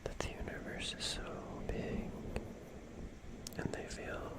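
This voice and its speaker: A person musing reflectively in a soft, breathy, unvoiced ASMR whisper, leaving long pauses between phrases. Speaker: ASMR creator.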